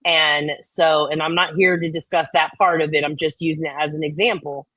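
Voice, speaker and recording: A woman's voice: deep voice, fairly even intonation, brisk, a bit bright, dry recording, poor audio. Blunt, sweary woman in a dry close space. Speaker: co-host reading and reacting to viewer comments. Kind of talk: casual chat with read-aloud comments.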